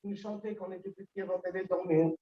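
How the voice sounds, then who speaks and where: A middle-aged man giving a funeral eulogy for his mother, grieving and halting, with repeated words and long pauses. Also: high-pitched, fairly even intonation, slight background noise. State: grieving, emotionally moved. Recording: echoey hall, distant dull mic.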